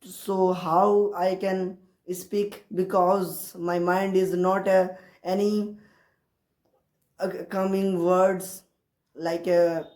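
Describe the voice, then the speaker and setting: A woman with an Indian accent and Hindi as her native language, talking casually and haltingly in learner's English, repeating herself to encourage viewers. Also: on the low side, level tone, darkish tone. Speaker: English-learning video creator. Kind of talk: casual motivational talk to camera. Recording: roomy, echoey sound.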